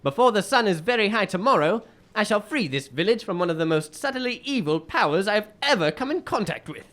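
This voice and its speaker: A man playing a shrewd, commanding detective, lively intonation. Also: high voice, a little fast, a little hiss or hum. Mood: resolute, commanding. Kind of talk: radio drama acting.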